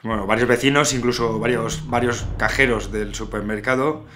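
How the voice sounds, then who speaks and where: A man in his thirties with an accent from Spain, making a prank phone call as a stern policeman, halting and scolding. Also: medium pitch, steady tone, noisy background. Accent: Spanish from Spain. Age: 30s. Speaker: prank caller. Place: small room at home.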